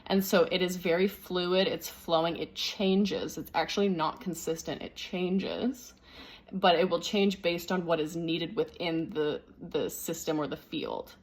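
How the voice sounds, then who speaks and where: A woman speaking as a spiritual teacher, explaining things unscripted and thinking aloud in measured phrases. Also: fairly low pitch, slightly rough.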